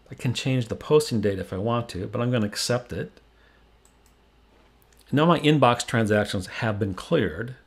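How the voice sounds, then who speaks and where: An American man presenting a software demo, explaining each step plainly in tutorial narration. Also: on the low side, husky.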